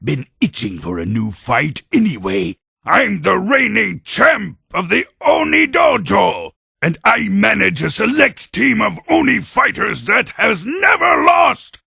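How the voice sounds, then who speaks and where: An actor playing a boastful, brawny warrior creature in a video game, blustering and menacing in a gravelly, raspy voice. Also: male voice, sits high, big pitch swings, slow, hardly any pauses, bright, forceful tone, dry recording, raised voice, clean studio sound, heavily acted. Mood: boastful, aggressive. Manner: swaggering, bragging, menacing. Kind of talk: video game cutscene acting.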